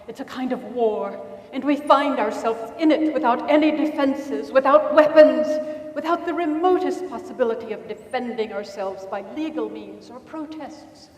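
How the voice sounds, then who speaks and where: Female reader as despairing woman diarist, slightly high voice, measured and reflective, staged in a reverberant hall. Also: darkish tone, close to an everyday voice. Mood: weary despair. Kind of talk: dramatic reading of a diary. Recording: distant mic in echoey hall.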